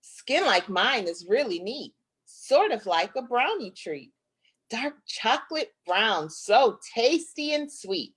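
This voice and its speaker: Slow-paced woman reading a picture book aloud. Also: on the high side, big pitch swings, slightly rough. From Flint, United States. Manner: deliberate, playful storytelling. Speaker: guest author reading her own book. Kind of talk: expressive children's picture book read-aloud.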